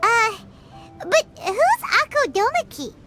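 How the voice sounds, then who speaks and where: Game casting: little curious sidekick creature, squeaky, very high and childlike, lively swings, music underneath. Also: female voice, slow pace, exaggerated voice. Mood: curious, puzzled. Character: tiny cheerful childlike companion creature, female, curious. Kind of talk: video game character acting.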